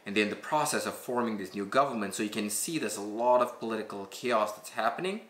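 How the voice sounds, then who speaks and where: A man in his thirties from Malaysia with a Malaysian accent, chatting casually and warmly while reacting to a video in his living room. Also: on the low side, fast talker, reverberant. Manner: relaxed, chatty, easily moved. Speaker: reaction channel co-host.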